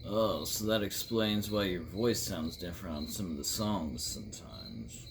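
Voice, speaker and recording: A dark shock-rock star hosting a talk show, played by a man. His voice sits low and he puts probing questions in a deliberate way. The recording has noticeable chirping noise behind it. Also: animated, creaky, heavily acted. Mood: measured, curious.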